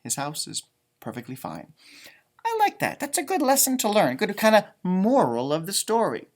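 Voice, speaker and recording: A man with a high voice reads a picture book to young children. His delivery swoops up and down, expressive and exaggerated, and he drops in chatty asides like a teacher. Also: normal speed. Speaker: elementary music teacher reading aloud. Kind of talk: animated children's storybook read-aloud with commentary.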